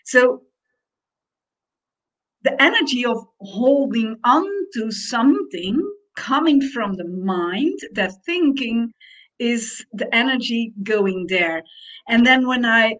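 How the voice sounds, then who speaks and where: A woman with a Dutch accent, talking things through in a slow, reflective conversation and pausing as she searches for words. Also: fairly high pitch, animated, a bit bright, poor audio. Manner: slow, searching phrasing with pauses.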